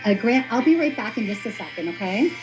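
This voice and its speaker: A woman's voice: medium pitch, sing-song, fast, over music. A woman from Lebanon, a singer-songwriter, talking casually as an interview guest. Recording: dull lo-fi link, faint noise.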